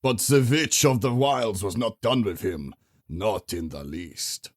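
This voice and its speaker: A man's voice: fairly low pitch, slow. A man acting as a grave, theatrical storyteller narrating a gothic horror tale. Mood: ominous, dramatic. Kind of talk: dramatic scripted narration.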